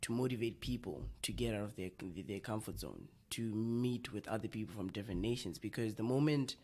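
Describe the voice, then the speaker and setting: A man's voice: lowish voice, animated, a little fast, warm tone. A young man from Zimbabwe, a guest in a casual chat, talking loosely with many fillers and restarts. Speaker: guest, clothing brand co-founder. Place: coffee shop.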